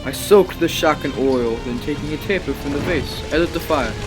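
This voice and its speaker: A man's voice: on the high side, moderate pace, music in the background, natural voice. An actor playing a tormented, guilt-ridden man of science, fervent and anguished in old-time radio drama style. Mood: tense narrating. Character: tormented, guilt-ridden male scientist haunted by his creation.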